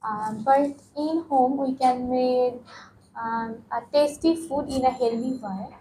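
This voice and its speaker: A teenage woman from Bangladesh, answering exam questions slowly with many ums and pauses. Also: high-pitched, fairly even intonation, noisy background. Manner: hesitant, frequent ums and pauses. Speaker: test candidate. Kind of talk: mock speaking exam answers.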